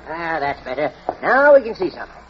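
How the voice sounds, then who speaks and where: Chatty folksy middle-aged house agent, 40s radio drama, bouncy jovial voice, muffled old audio. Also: male voice, a little high, sing-song, a little fast, a little hiss or hum. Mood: brisk, genial. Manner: chuckling, folksy, genial. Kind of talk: 1940s American radio drama acting.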